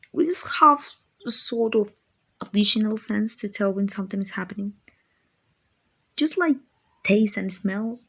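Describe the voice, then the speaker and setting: A woman voicing a gentle, doting, slightly clumsy guardian angel in a soft-spoken ASMR comfort roleplay, affectionate, hesitant and apologetic. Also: fairly low pitch, vocal fry, slightly rough, exaggerated voice.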